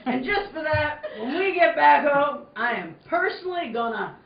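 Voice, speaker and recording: A woman acts out a folksy old-timer cowboy, gruff and animated. The radio western lines sound muffled in an echoey room. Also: high-pitched, normal speed, recorded from a distance. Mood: fond, folksy. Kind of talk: 1940s radio western acting.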